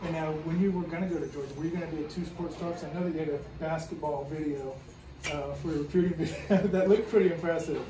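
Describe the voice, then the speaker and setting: A man's voice: a little high, steady tone, fast, hardly any pauses, crowd noise. Chatty middle-aged male host, echoey room. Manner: chatty, laughs easily, restarts questions. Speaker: podcast host. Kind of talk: casual interview. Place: small room, in doors, seated in armchair. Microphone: far camera. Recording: roomy, distant, noisy.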